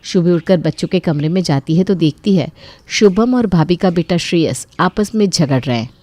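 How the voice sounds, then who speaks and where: A woman's voice: on the low side, animated, moderate pace, warm tone, no echo. A woman reading a scripted story as a storyteller. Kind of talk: scripted Hindi bedtime story narration.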